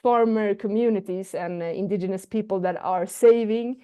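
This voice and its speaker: A woman's voice: medium pitch, normal speed, mellow, smooth. A woman with a Swedish accent, a non-native English speaker, giving an online presentation with many fillers and restarts. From Sweden. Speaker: guest speaker.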